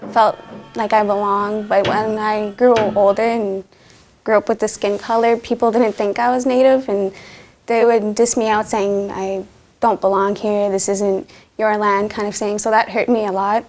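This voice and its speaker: A woman student speaks in a plain, reflective way during an interview. She uses fillers now and then. Her voice is mid-pitched. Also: little pitch movement, moderate pace, darkish tone, faint music behind. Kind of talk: documentary interview.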